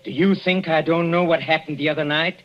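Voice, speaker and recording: An actor in 1940s radio style playing a jealous, cruelly calm husband who taunts with mock tenderness and slow deliberation. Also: male voice, fairly high pitch, natural voice. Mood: jealous, sardonic. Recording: muffled, noisy 1940s radio recording.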